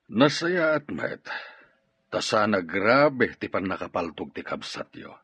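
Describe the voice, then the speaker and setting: A man with an Ilocano accent acting a brooding gang boss in an audio drama, with a raspy voice. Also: on the low side, sing-song, unhurried, a bit bright, no room sound, heavily acted. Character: brooding gang boss questioning his underling. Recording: dull, dry lo-fi drama recording.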